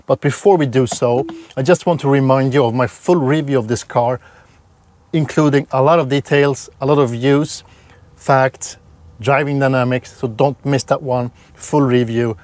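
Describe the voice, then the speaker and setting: A man from Sweden with a Swedish accent, calmly explaining a range test in a casual vlog while driving. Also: mid-range voice, no echo. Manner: plain, explanatory, slightly halting with repeated words. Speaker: electric car reviewer.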